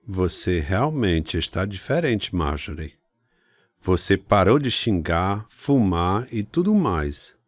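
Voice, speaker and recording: An actor with a Brazilian accent playing a curious, doubtful brother in a radio drama. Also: male voice, sits low, sing-song, slow, no echo, exaggerated voice. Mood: impressed. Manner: questioning. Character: curious, doubtful twin brother of a new convert.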